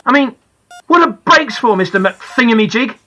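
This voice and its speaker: Woman reading as a candid, husky-voiced young boy. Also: mid-range voice, very animated, unhurried, a bit bright, full character voice. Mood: angry, sarcastic. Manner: plain-spoken, childlike, candid. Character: injured young boy narrating his thoughts, candid, indignant. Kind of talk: first-person audiobook narration.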